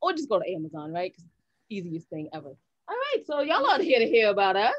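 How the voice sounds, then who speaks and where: A woman's voice: mid-range voice, very animated, fast talker, dry recording. A woman co-hosting a podcast with playful, folksy banter, often saying y'all, on slightly muffled audio. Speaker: podcast co-host. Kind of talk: casual chat, banter.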